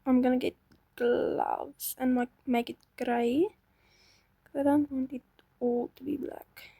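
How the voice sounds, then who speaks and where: A woman's voice: high-pitched, level tone, slow, gravelly, mellow, slightly rough, dry recording. A young woman chatting casually to herself while playing a game, self-doubting and talkative. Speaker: gaming channel host. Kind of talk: casual gameplay commentary.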